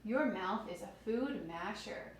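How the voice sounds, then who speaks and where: A woman's voice: mid-range voice, expressive, unhurried. A woman reading aloud to young children as a teacher, expressively explaining things simply and putting questions to her listeners. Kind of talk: children's read-aloud lesson with asides. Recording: very echoey room with faint noise.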